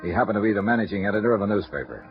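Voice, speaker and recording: Cool freelance insurance investigator, clipped wry replies, muffled old radio sound. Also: male voice, lowish voice, animated, very fast. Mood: narrating. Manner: dry, laconic, clipped replies. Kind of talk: 1950s radio drama acting and narration. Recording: muffled vintage radio broadcast with music behind.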